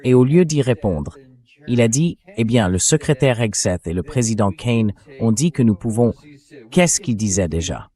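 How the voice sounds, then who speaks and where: A man's voice: mid-range voice, animated, normal speed, mellow. An AI-voiced man speaking as a talk show host, giving dubbed political commentary. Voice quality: synthetic AI voice.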